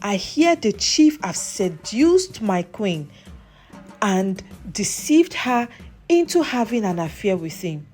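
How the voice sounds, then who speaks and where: A commanding, wrathful king from a folktale, played by a woman storyteller. He declaims his orders imperiously, with lively emphasis. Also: medium pitch, takes it slowly, faint music behind. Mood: menacing fury. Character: enraged, commanding male village king in a folktale. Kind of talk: folktale character voice.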